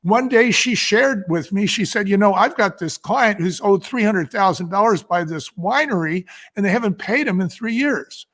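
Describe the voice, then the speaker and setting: An older man chatting casually as an interview guest, telling anecdotes in an animated way and acting out what people said. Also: high-pitched, moderate pace, hardly any pauses. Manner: animated storyteller, acting out quotes. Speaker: guest, business co-founder. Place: indoors, live video call.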